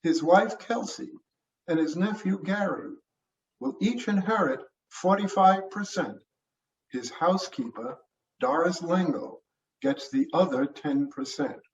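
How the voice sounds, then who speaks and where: A man's voice: high voice, unhurried. Man reading as a formal attorney, roomy sound. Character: tall, distinguished male attorney, measured and formal. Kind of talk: mystery short story reading. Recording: roomy, muffled, distant mic.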